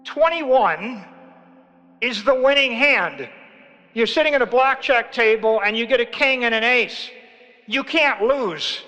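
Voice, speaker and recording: High-pitched man speechifying in an echoing hall. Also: expressive, a little slow, bright, forceful tone. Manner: emphatic short declarative phrases. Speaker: speaker. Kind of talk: motivational speech. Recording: echoey hall, dull sound, faint music.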